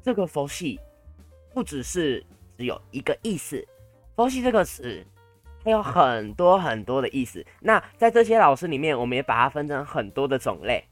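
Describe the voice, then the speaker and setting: Animated young Taiwanese Mandarin podcast guy. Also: a little high, normal speed, faint music behind. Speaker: podcast co-host. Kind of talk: casual bantering podcast chat.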